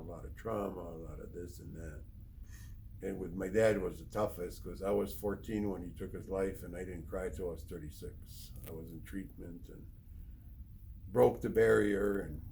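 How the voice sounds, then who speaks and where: A middle-aged or older man from Poland, sharing personal testimony in a plain-spoken, halting, rambling way as a recovery speaker. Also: sits low, creaky, a little mellow, slight background noise, poor audio. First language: Polish. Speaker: recovery speaker, treatment counselor.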